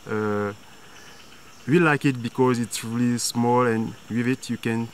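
A man's voice: medium pitch, fairly even intonation, measured pace. A young man explaining things informally, searching for words in non-native English. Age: young adult. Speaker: local companion demonstrating fishing. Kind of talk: informal demonstration. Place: outdoors in jungle at a riverbank. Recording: clear insect and jungle noise.

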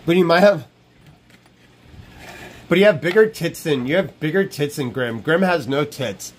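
A man with a slightly high voice talks loosely and profanely on a live stream from a small room. He circles back and repeats his points, bragging and riffing with chat. His earbud mic sits close to his mouth. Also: animated, normal speed, slightly rough. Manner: brash, profane, repeats himself. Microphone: wired earbud headset mic.

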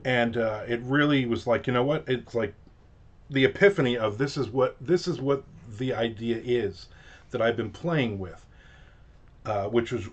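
Middle-aged New Jersey man, casual chatty author livestream, home office, slightly muffled webcam sound. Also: mid-range voice. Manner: rambling, chatty, lots of fillers and restarts. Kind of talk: casual chat. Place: home office with shelves of collectibles.

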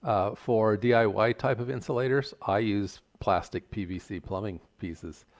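An older man giving a measured, plain-spoken, step-by-step tutorial explanation. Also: lowish voice. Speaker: instructor. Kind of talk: explanatory tutorial narration over slides.

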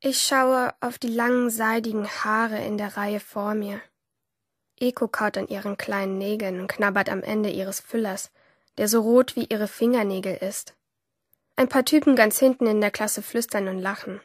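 A woman's voice: mid-range voice, steady tone, moderate pace, no room sound, clean studio sound, natural voice. An actress playing a troubled, yearning teenage schoolgirl in an introspective first-person radio drama monologue. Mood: tense, observant. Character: troubled, yearning teenage schoolgirl narrating her inner life. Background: music under the voice.

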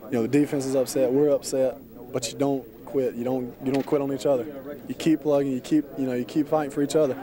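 A male player rattles off quick, repetitive points in a flat voice during a postgame interview. A loud crowd is heard behind him. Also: medium pitch. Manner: fast, repetitive, emphatic.

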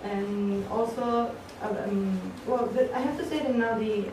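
A woman's voice: fairly high pitch, measured pace. A woman from Italy, speaking earnestly as a panelist and stumbling over restarts. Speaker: panelist, forum moderator. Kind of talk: conference panel answer. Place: conference hall. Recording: echoey hall from far off, noisy.

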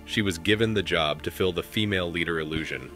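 Low, bright-voiced man reading commentary. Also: fast talker, faint music behind. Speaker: commentary host. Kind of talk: reading posts aloud for commentary.

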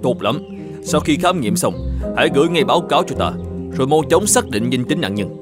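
Man acting an authoritative, animated provincial governor. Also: mid-range voice, over loud music, lightly acted. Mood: resolute. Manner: authoritative, measured, formal. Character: upright, determined provincial governor and magistrate. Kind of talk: animated folk tale dubbing, formal.

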